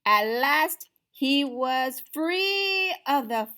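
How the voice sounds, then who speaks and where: A woman reading aloud to children in an expressive, dramatic, deliberate storytime style. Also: high voice. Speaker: storytime reader.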